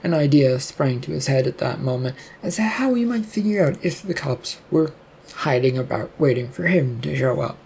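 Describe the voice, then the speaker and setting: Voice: medium pitch, lively intonation, moderate pace, vocal fry, darkish tone, husky, low-quality recording. A narrator reading a scripted fiction story aloud, telling the action between lines of character dialogue.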